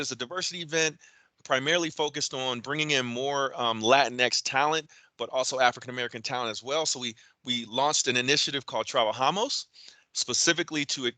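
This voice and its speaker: Male event host, bright voice, dry close sound, casual delivery with fillers. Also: medium pitch, dry recording. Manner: conversational, frequent fillers and restarts. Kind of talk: virtual event introduction.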